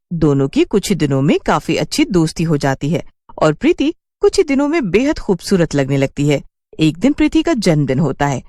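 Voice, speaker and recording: A woman's voice: fairly low pitch, darkish tone, no echo, clean studio sound. A woman telling a scripted cartoon story as its narrator.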